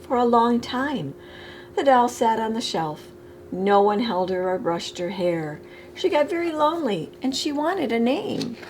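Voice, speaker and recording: A woman reading a children's picture book aloud expressively, with deliberate phrasing, as a storytime reader. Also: on the high side, very animated, a little mellow, a little hiss or hum.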